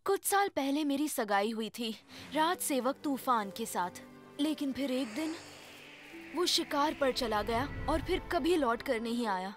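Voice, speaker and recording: A determined young cartoon queen with a high voice. She speaks earnestly and plainly, with faint music behind her. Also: lively intonation, unhurried. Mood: matter-of-fact. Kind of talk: Hindi cartoon dub acting.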